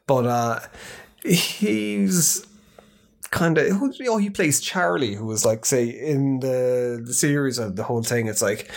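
Man of middle pitch co-hosting a casual podcast chat. He rambles, swears offhand and restarts his sentences constantly, filling gaps with ums and uhs. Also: expressive, vocal fry. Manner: rambling, swears casually, halting with many fillers. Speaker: podcast co-host.

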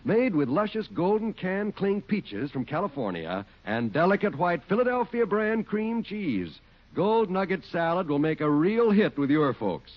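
Lively 1940s American radio adman. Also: sits high, a little slow. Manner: enthusiastic, polished selling. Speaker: radio announcer. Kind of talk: 1940s radio advertising read. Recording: muffled 1940s radio recording.

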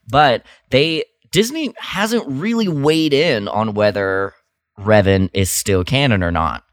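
Man, deep pitch, dry close recording, podcast co-host, casual news chat, informal enthusiast. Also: fairly bright tone, dry recording.

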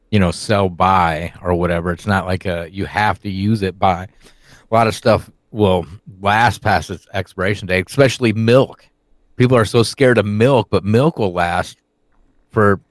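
A man's voice: lowish voice, dry recording. A man on a talk show panel, chatting casually and bluntly with swearing over an internet call. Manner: blunt, profane. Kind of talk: casual chat. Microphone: remote internet call.

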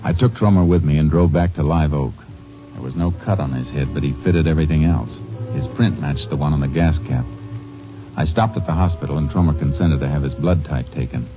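An actor playing a firm Texas Ranger who also narrates, in a 1940s radio drama, on a dull old recording. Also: male voice, rumbling low, a little fast, over music. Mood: narrating. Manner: firm, clipped. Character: stern Texas Ranger lawman, man. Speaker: narrator and lead character.

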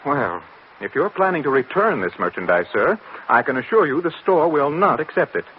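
A man's voice: a little high, very animated, moderate pace. A man acting a prissy, sarcastic shop clerk with a haughty, formal manner in a 1940s radio drama. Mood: snooty. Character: snooty, prissy, sarcastic hat store clerk. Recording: muffled, noisy old radio recording.

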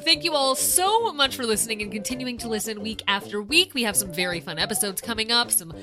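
A woman's voice: on the high side, animated, fast. A woman hosting a podcast in a bubbly, chatty, upbeat way. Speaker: podcast host. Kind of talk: casual podcast chat.

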